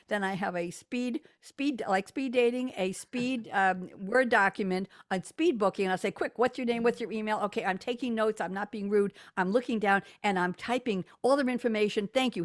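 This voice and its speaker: A woman's voice: mid-range voice, no room sound, studio quality. A woman radio and TV host interviewing guests over a video call, talking in an effusive, enthusiastic, chatty way.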